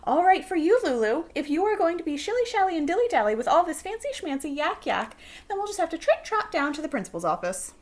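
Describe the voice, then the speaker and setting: Storybook teacher, female reader acting: high-pitched, exasperated scold, rattles off silly rhyming words, very animated. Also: quick pace.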